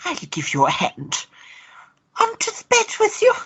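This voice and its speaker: An Irish woman playing a lusty, teasing lover in a radio play, with a breathy, flirtatious drawl. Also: very high-pitched, wildly swooping pitch, unhurried, noisy background, full character voice. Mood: seductive, playful.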